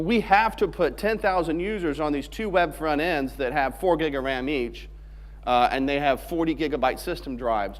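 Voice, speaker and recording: A man from Alabama giving a technical conference talk in a joking, conversational way. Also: on the high side. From Alabama, USA. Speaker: conference speaker. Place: large conference hall stage. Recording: long hall reverb on stage mic.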